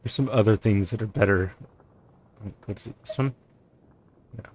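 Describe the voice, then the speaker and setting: Low-voiced man musing through a game. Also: normal speed, darkish tone, a little hiss or hum. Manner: low-key, musing aloud, hesitant. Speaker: gaming streamer. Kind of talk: casual game commentary. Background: faint game music.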